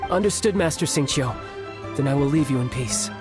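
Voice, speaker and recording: A man plays a deferential guild servant from a video game. He sounds earnest and keen to please, in a medium-pitched voice with clear music underneath. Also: expressive, fairly quick, natural voice. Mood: grateful, deferential.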